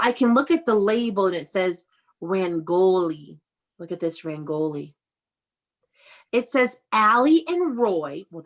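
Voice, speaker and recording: A woman teacher reading aloud to young children in an interactive video-call lesson, instructing and prompting with questions and repetition. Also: medium pitch. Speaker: elementary school teacher.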